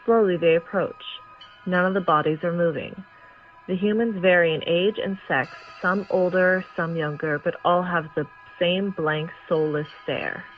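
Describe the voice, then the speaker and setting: A woman's voice: lowish voice, fairly even intonation, a little slow, muffled recording, soft background music. A woman reading scripted scene narration for an audio drama, describing action as a narrator.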